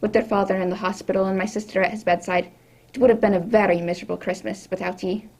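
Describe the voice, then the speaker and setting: A woman's voice: mid-range voice, steady tone, fast talker, vocal fry, darkish tone, low-quality recording. A woman playing a kindly, deferential British housekeeper in a radio drama, homely and respectful. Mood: kindly, grateful.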